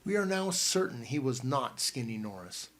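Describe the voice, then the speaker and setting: A man's voice: mid-range voice, big pitch swings, normal speed, close to an everyday voice. A man reading an audiobook and voicing a bookish teenage boy who leads the group and speaks in formal, precise words.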